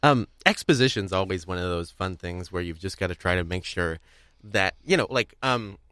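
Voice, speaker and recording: A young man with a fairly low voice chats casually as a podcast host. He talks in long, winding, hedged sentences. The sound is very dry, with no room echo. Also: expressive, moderate pace, a bit bright, clear, resonant tone. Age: young adult. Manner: rambling, hedging, wordy. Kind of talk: casual chat about audio drama craft.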